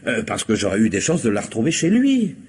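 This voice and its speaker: A man's voice: medium pitch, huge pitch swings, darkish tone, husky, exaggerated voice. A man playing a talkative police commissioner in a radio comedy-mystery, falsely courteous and insinuating, hesitating and drawing out his words. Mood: chatty, ironic. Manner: hesitant, insinuating, mock-polite, drawn-out words. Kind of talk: theatrical French radio comedy-mystery acting.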